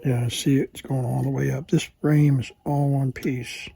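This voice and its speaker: A husky-voiced man thinking aloud in a casual, halting mutter as he hosts a hands-on repair video. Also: mid-range voice, little pitch movement, mellow, no echo. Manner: halting, muttering to himself while working. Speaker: repair hobbyist and video host.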